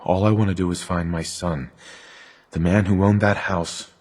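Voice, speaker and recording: A man's voice: sits low, fairly even intonation, moderate pace, a little mellow. An actor playing a determined father in a video game, plain-spoken and earnest. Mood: pleading. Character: determined father searching for his kidnapped son. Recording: game audio with clear background noise.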